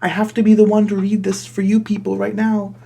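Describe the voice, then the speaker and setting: A man's voice: sits high, monotone, fairly quick, creaky, warm tone, slightly rough. A man hosting a comedy video, reading fan fiction in a theatrical way and breaking off with mock-outraged comments. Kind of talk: dramatic comic reading of fan fiction with exasperated asides.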